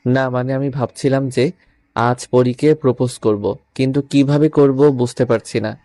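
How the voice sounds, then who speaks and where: A man plays a lovestruck young male boss in a romantic audio story. His voice is mellow and rather flat in pitch, recorded close and dry. He speaks in a sincere, measured way. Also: dry recording, natural voice. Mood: hesitant, earnest.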